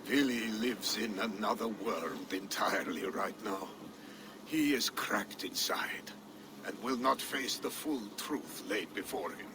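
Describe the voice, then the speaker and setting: An actor playing an older, earnest Viking healer and adviser who scolds the young lords. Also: male voice, lowish voice, unhurried, lightly acted. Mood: grave. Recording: game cutscene with ambient noise.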